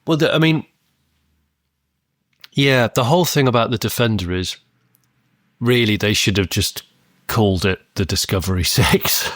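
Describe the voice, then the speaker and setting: A man's voice: lowish voice, moderate pace, pauses often, a bit bright, no room sound, studio quality. A man co-hosting a podcast in casual chat, a bit bunged up, blunt and exasperated. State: congested, bunged up. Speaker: podcast co-host.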